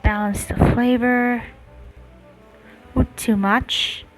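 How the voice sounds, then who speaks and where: A woman's voice: fairly high pitch, steady tone, very slow, poor audio. A woman doing casual gameplay commentary, talking to herself as she plays. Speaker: gaming video creator. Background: game music.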